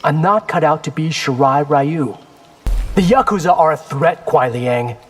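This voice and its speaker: An actor playing a wry, defiant, earnest young ninja warrior in a video game. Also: male voice, fairly high pitch, a little slow, faint music behind.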